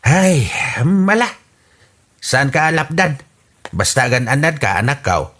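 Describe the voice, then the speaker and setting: A man's voice: sing-song, a little slow, a bit bright. A man with an Ilocano accent acting in a radio comedy drama, exasperated and sighing. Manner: exasperated and sighing, pitch leaping up. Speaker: voice actor in an audio comedy drama.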